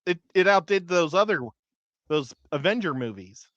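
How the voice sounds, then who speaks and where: A man's voice: sits high, animated, moderate pace, a bit bright, dry recording. A man in his fifties or older, telling everyday stories in casual chat as a livestream panelist. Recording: dry, dull lo-fi audio.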